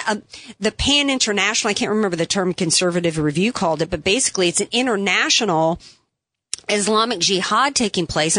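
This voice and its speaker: A woman's voice: mid-range voice, sharp tone, no room sound. Emphatic woman radio talk host. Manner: opinionated, emphatic, sarcastic asides. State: losing her voice, has a cough drop in. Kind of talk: political talk radio monologue.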